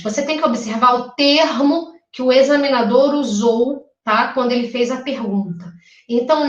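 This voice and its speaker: A woman's voice: high-pitched, roomy sound, recorded from a distance, highs cut off. A woman from Rio de Janeiro with a Carioca accent, giving a chatty live exam-prep lecture and often checking in with her listeners. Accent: Carioca Brazilian Portuguese. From Rio de Janeiro, Brazil. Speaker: teacher.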